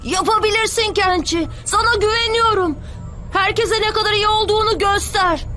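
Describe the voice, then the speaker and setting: A woman's voice: extremely high pitch, moderate pace, sharp tone. A woman voicing a sincere, encouraging little boy in a dubbed anime cartoon, speaking earnestly. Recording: music behind the voice.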